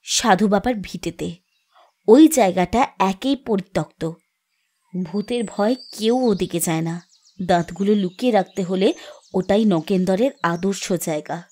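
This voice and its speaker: An actress playing a determined young woman investigating a village mystery in a horror audio drama, insistent and expressive. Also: medium pitch, measured pace, natural voice. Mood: resolute. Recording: music bed behind the voice.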